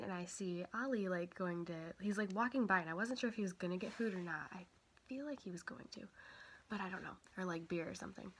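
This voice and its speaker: A young woman vlogger, a little sick and stuffy, chatting casually and rambling with lots of fillers. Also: on the low side. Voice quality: quiet voice. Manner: rambling, many fillers and self-corrections. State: stuffy nose from a cold. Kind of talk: casual chat.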